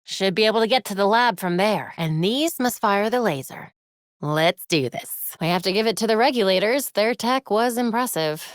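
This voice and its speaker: A woman voicing a young crime-fighting superheroine in a video game, with an American accent and a wry, crisp, determined delivery. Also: mid-range voice, expressive, normal speed, no room sound, clean studio sound, natural voice. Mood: dry, businesslike. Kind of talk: scripted video game voice lines. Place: recording studio.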